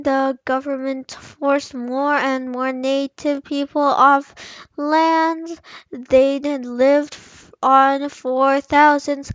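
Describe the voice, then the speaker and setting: High-pitched young girl reading slowly. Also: fairly bright tone, dry recording, dull audio. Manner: stumbling over words, sometimes playful sing-song. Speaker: young reader practising aloud. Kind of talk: halting read-aloud of a children's history book.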